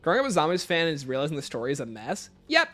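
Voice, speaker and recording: A man hosts a casual livestream in a crisp, bright voice pitched a little above average. He talks informally and playfully with viewers, reeling off lists and explanations. Faint music sits behind him. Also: huge pitch swings, rapid-fire. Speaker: livestream host. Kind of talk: casual livestream chat, answering viewer questions.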